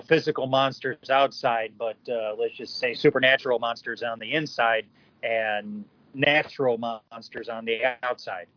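A man chatting casually as a podcast panelist, hesitant, often pausing to rephrase. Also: mid-range voice, no room sound, highs cut off. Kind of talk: casual discussion.

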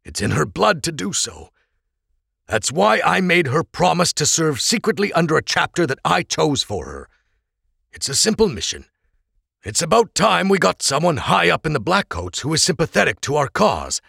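A man voicing a weary, protective middle-aged rebel leader and father, speaking in an earnest, confiding way with a gravelly voice. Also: fairly high pitch, sing-song, moderate pace, pushed and bright, no echo, clean studio sound, voice pushed up, exaggerated voice. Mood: explaining.

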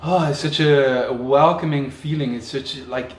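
A man from Belgium chatting casually, reflective and relaxed, with "you know" in nearly every sentence. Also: medium pitch, normal speed. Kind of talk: casual conversation. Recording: echoey room with faint background noise.

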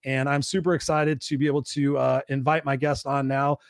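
A man's voice: mid-range voice, level tone, brisk, dry recording. A man hosting a live online talk show, rambling and upbeat with many fillers. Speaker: host.

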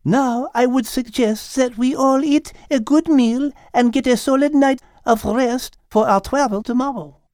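A man's voice: extremely high pitch, a little slow, hardly any pauses, darkish tone, no echo, clean studio sound, pitched above the performer's own voice. A man voicing a refined, knowledgeable female cat with a French accent and precise, formal wording, in an audiobook. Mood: gracious. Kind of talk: audiobook character acting.

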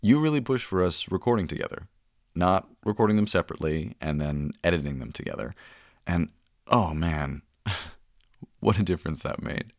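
A man with a very low voice talks as a host in a relaxed interview chat. The sound is very dry and close, with no room around it. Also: normal speed, no room sound. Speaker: podcast host and audio performer. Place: small closet home recording space. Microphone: close studio-style mic in a closet.

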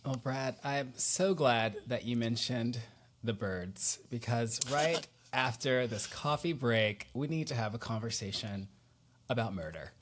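A man's voice: mid-range voice, measured pace, a little hiss or hum. A man talking casually and conversationally as a podcast host.

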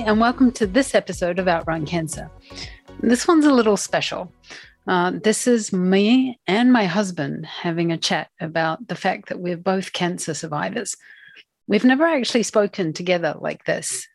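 A woman's voice: fairly low pitch, no room sound. A woman in her forties hosting a podcast. She chats casually with her husband and laughs often. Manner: warm and chatty, laughs often. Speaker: podcast host.

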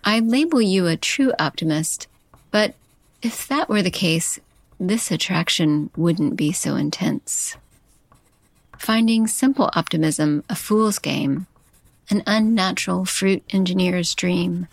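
A woman, a chef hosting a podcast, reading a poetic love letter and scripted narration in a slow, intimate way. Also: on the low side, animated, creaky, no room sound.

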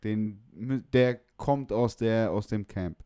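Deep-voiced young man with clear tone. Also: takes it slowly, mellow, dry recording, clean studio sound. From northern Germany. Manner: slangy, opinionated, blunt. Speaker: music producer, podcast guest. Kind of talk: casual chat about music.

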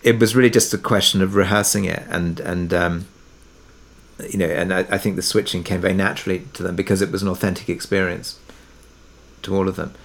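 Lowish-voiced man, hesitant interview guest. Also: fairly even intonation, brisk, creaky, fairly bright tone. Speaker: interview guest, audio drama producer. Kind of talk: conversational interview discussion.

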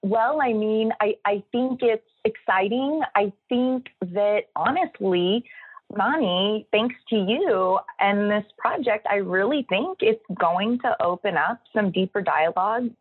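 A woman's voice: mid-range voice, expressive, measured pace, breathy edge. A middle-aged woman raised in the United States and born in the Philippines, a podcast guest talking reflectively and casually. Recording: thin phone-like remote line.

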